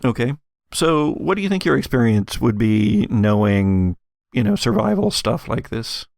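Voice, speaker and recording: A man narrating an improvised tabletop roleplaying game as game master, telling the story in a halting, deliberate way with dramatic pauses. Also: medium pitch, very animated, vocal fry, darkish tone, dry recording, clean studio sound.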